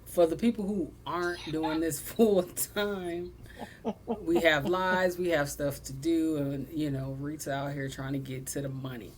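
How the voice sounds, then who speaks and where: A young adult woman hosting a casual sibling podcast, blunt and animated, cussing freely and laughing often. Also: sits low, measured pace, slight background noise. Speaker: podcast host.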